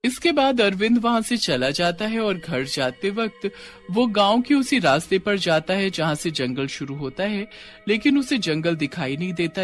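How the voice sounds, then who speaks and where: Male story narrator over music. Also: fairly high pitch.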